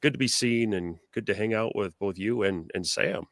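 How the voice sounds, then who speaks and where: A hoarse man co-hosting a live stream, rambling with lots of fillers over a video call. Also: lowish voice, normal speed, a bit bright, no room sound, clean studio sound. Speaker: co-host. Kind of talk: casual live-stream chat.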